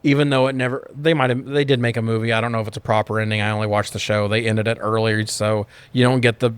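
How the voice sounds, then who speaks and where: A man's voice: on the low side, level tone, fast, smooth, no room sound. A man co-hosting a podcast, chatting casually and enthusiastically about movies with plenty of swearing. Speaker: podcast co-host.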